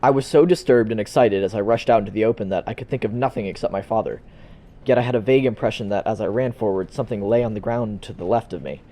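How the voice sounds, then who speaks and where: A man playing a guarded, earnest young son giving formal, halting testimony in an amateur audio drama. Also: fairly low pitch, warm tone, lightly acted. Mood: unsettled.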